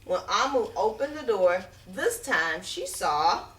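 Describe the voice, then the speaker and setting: Lady doing a kids book read aloud, super expressive, echoey and kinda far from the mic. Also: medium pitch. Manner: expressive, dramatic pauses and drawn-out words. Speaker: picture book reader. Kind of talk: animated children's read-aloud storytelling. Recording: roomy echo, distant from microphone.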